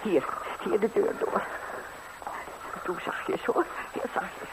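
An actress playing a doting, scolding old housekeeper, fussing, sighing and coaxing in a 1960s radio play. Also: female voice, medium pitch, slow, close to an everyday voice. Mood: urgent, hushed. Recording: noisy vintage 1960s radio recording.

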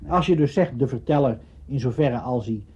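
Male interviewee, mid pitch, very raspy, halting spontaneous talk, dull archive sound. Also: a little mellow. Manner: halting, searching for words, repeating himself.

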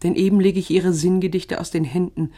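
An affectionate eighteenth-century letter writer in a German radio drama. Her voice is low and softly dark. She speaks with witty, playful insistence. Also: fairly even intonation, a little fast. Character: affectionate, witty 18th-century woman writing to beloved.

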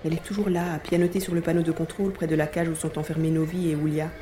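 An actor playing Karl, an anxious, determined adventurer who tells the action as it unfolds in an audio drama. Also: male voice, a little high, rapid-fire, very noisy, close to an everyday voice. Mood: wary. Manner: dramatic, expressive narrating of the action. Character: Karl, anxious adventurer man tracking captive friend, grumbling, determined. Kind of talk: dramatized audio drama with first-person narration. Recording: echoey room with faint music.

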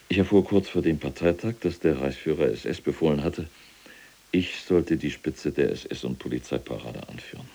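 Very deep, gravelly SS general. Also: male voice, a little mellow, exaggerated voice. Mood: proud, solemn. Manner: boastful, precise, military enumeration. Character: proud, unrepentant older SS general in prison, recalling his deeds. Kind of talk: German radio play dialogue.

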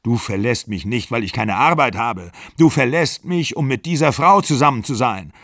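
Ein Mann spricht eine junge Frau, hoch und den Tränen nahe. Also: female voice, a bass voice, lively intonation, normal speed, no room sound, studio quality. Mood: accusing. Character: young woman leaving her older lover, stubborn.

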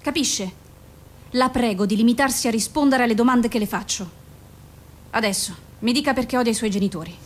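An actress playing a measured, firm female doctor in a naturalistic radio drama, questioning in a controlled, formal and probing way. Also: a little high, slight background noise, lightly acted. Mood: controlled, insistent.

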